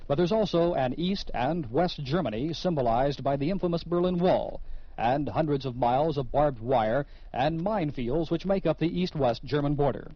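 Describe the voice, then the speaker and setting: A man's voice: a little high, no echo. A man with an American accent reading scripted radio narration and announcements as the host of a show. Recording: dull vintage radio recording with music. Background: music.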